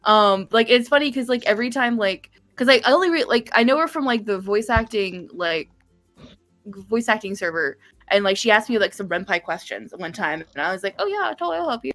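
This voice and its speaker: A woman's voice: mid-range voice, lively intonation, fairly quick, a bit bright, dry recording, soft background music. Woman game developer on a livestream: middle register, informal, wandering, explanatory chat. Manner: rambling, frequent "like", casual swearing. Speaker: game developer guesting on a stream.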